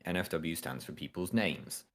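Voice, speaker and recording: A man's voice: low-pitched, normal speed. A young man casually explaining astrophysics equations in a hesitant, offhand way, with many ums and the odd swear. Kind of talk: casual study chat.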